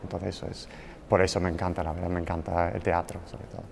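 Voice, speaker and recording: A man's voice: deep voice, flat delivery, fast talker, gravelly, a little mellow, a little hiss or hum. Man, fluent and enthusiastic. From United Kingdom. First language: English. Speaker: translator. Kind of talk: interview answer.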